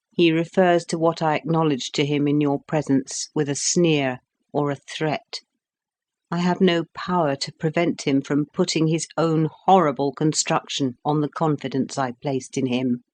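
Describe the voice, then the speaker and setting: A weary, wronged young wife, read by a man in an audiobook. Her telling is calm and cold, steady and subdued. The reader keeps close to his own voice. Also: fairly high pitch, natural voice. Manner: weary, subdued, evenly told.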